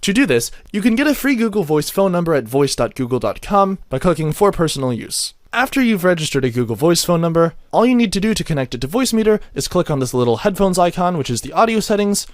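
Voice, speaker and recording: A man with a slightly high voice narrates a scripted how-to guide. His intonation moves up and down in a lively way as he explains things methodically. The recording is dry, like a close-miked voice-over. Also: no echo. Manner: methodical, step-by-step instructional delivery. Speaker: tutorial narrator. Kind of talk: scripted software tutorial narration.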